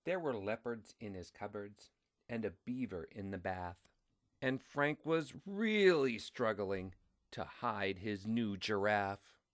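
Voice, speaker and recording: A man reading a children's story aloud in a deliberate, expressive way. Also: on the low side.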